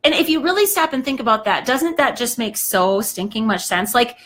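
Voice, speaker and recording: A woman's voice: fairly high pitch, animated, normal speed, fairly bright tone. Woman in her 30s or 40s doing a chatty live talk, emphatic, keeps saying okay, bit froggy. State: slightly hoarse, clearing throat. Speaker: host of a live talk show. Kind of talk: casual motivational talk.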